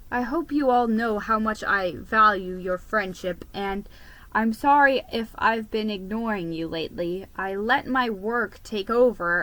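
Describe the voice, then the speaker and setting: Woman as an earnest, bright-voiced storybook mouse. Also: a little high, no room sound, rough recording. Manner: earnest, dramatic storybook delivery. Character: friendly mouse narrator-hero, warm-hearted, earnest.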